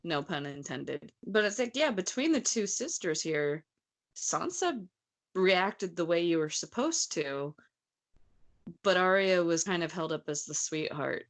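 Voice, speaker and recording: Lower-pitched woman in casual discussion. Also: expressive, measured pace. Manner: conversational, opinionated. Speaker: podcast host.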